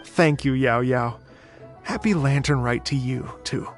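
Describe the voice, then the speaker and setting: An actor playing a humble, courteous musician in a video game, earnest and a little hesitant. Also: male voice, mid-range voice, unhurried, natural voice. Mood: grateful. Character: earnest, humble male musician, easily flustered. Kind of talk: video game character acting. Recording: music behind the voice.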